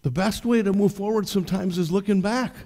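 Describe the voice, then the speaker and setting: A man's voice: high voice, a little fast, mellow. An older man, a pastor preaching conversationally from a church stage and putting direct questions to the congregation. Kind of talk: sermon. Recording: long reverb of a church hall.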